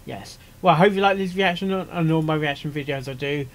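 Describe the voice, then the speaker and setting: Slightly high-voiced man, rambling reaction commentary. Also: fairly quick. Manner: hesitant, rambling, repeats phrases. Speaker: reaction video host, amateur voice actor. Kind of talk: casual off-the-cuff commentary.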